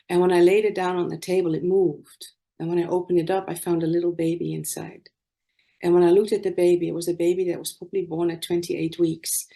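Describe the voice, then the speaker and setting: Woman, 60s, Dutch accent, slightly low voice, earnest storyteller, video call. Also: dark tone. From Netherlands. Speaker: conference speaker, nurse. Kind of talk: online conference talk.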